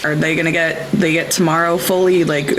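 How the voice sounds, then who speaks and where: A woman's voice: deep voice, little pitch movement, fairly quick, fairly bright tone, a little hiss or hum, poor audio. Woman playing a hesitant, apologetic dinosaur park guide. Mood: worried musing.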